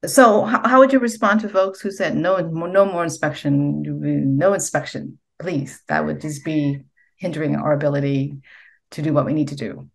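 A woman hosting a podcast interview over a video call, asking gentle questions and giving soft encouraging backchannels. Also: low-pitched, normal speed, gravelly. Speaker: podcast host. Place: home room with bookshelf.

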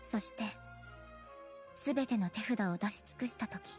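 A woman acts a cool young cat-girl from an anime game. Her voice is fairly high but composed and deadpan, each line measured and quietly pointed, with light music underneath. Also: close to an everyday voice. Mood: solemn. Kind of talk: Japanese anime game voice acting. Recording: faint music behind the voice.

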